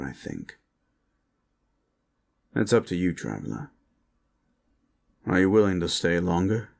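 A man playing a weary, serious and cautious protector in an audio roleplay, speaking in a measured, earnest way. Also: low-pitched, vocal fry, darkish tone, exaggerated voice.

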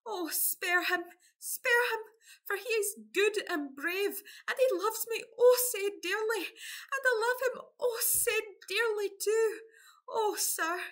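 A woman's voice: very high voice, takes it slowly, a bit bright, exaggerated voice. A woman playing a vain, guileless young village lass with a Scottish accent in a farcical radio play. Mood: pleading.

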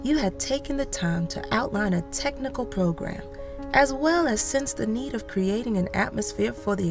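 Grateful woman reading her letter for a documentary, highish voice, music behind.

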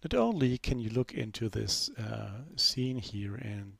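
A man narrating a screen-recorded software demo in a relaxed, unscripted way, full of fillers and restarts. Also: lowish voice, measured pace, creaky, husky, no room sound. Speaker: software developer giving a demo.

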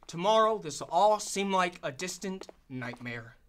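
A man's voice: sits high, animated, unhurried, fairly bright tone. A man playing a confident, blustering American military commander in a radio drama, emphatic and assured. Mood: defiant, reassuring.

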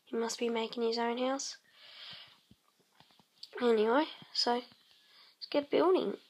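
Croaky girl voice chatting over her game, slow and rambly, hums little tunes. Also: fairly high pitch, fairly even intonation. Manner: slow, rambling, many ums, hums tunes while playing. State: croaky, clearing throat. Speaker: let's play host. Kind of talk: casual gameplay commentary.

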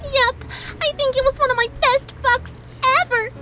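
A woman voicing a bubbly, oblivious young female cartoon pony, excitable and chirpy. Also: very high voice, moderate pace, over music, pitched above the performer's own voice. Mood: excited.

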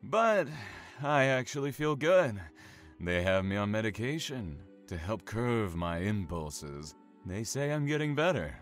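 A man playing an imprisoned killer in a horror audio drama, low-voiced and slow. He taunts and teases with smug, obsessive menace, drawing out his words over a musical backdrop. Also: very animated, soft background music. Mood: playful, gloating. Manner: smug, teasing, drawn-out menacing delivery.

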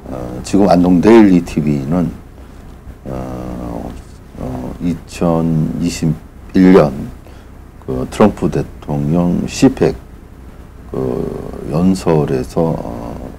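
A middle-aged man with an extremely low, gravelly voice addresses his viewers as a channel host. He speaks in a formal, polite but halting manner, feeling his way through an unscripted announcement. A faint layer of noise sits behind the voice. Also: expressive, warm tone.

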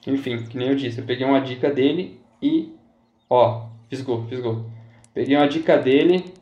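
A man's voice: mid-range voice, moderate pace, warm tone, dull audio. A man giving casual fishing game tips as a YouTuber, chatty and repetitive, often checking in with his viewers. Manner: chatty, repeats himself, says "galera" and "tá?" often. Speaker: gaming YouTuber. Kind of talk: casual gameplay tips commentary. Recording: roomy echo.

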